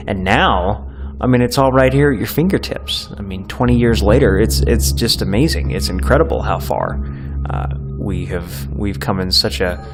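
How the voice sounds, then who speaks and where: Low-voiced young man reminiscing over music. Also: normal speed. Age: late 20s. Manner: nostalgic, earnest. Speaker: audio drama creator. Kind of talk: reflective documentary interview. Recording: music playing behind.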